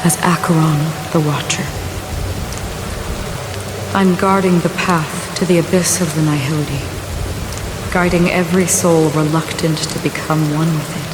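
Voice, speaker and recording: Heavy rain surrounds a solemn female watcher of the dead from a video game. She speaks low and slowly, in a measured, philosophical way, with grave melancholy. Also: noisy background. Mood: grave, detached. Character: solemn, melancholy woman guardian of the souls of the dead. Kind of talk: scripted video game voice acting. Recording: loud rain over the voice. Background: steady rain.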